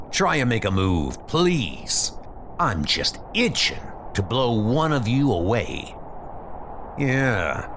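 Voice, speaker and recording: Man acting cocky, taunting tough-guy sailor, lively pitch. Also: background noise. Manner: taunting, slangy. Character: tough, cocky, trigger-happy male sailor. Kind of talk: comic dub acting. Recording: loud background music.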